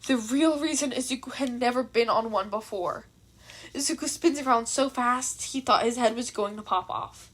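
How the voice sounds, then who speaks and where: A woman with a high voice narrates fan fiction. Her reading is expressive, in the manner of an audio story told by a hobby reader.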